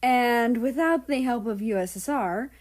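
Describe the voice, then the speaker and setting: Slow-paced woman narrating a story. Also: fairly high pitch, darkish tone. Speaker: audiobook narrator. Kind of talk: expressive scripted story narration.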